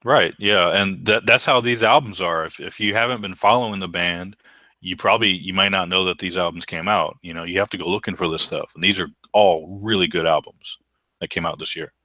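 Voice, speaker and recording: A middle-aged man with a baritone voice, co-hosting a casual podcast chat and speaking with enthusiasm. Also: a little fast, sharp tone, no echo. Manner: enthusiastic, repeats words when restarting. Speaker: podcast co-host.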